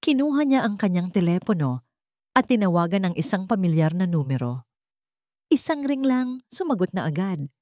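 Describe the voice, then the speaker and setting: A woman's voice: mid-range voice, big pitch swings, moderate pace, darkish tone, dry recording, clean studio sound. A woman narrating a dramatic romance story from a script.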